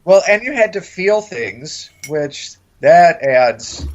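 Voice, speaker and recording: A man's voice: on the high side, very animated, slow pace. A man co-hosting a podcast in casual conversation, heard on a dull, lo-fi track. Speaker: podcast co-host.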